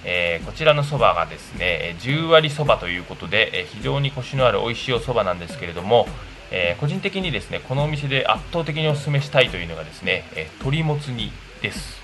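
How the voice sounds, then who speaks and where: A man presenting a food report on radio, speaking politely and in measured phrases from semi-prepared notes. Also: mid-range voice, very animated, sharp tone, faint music behind.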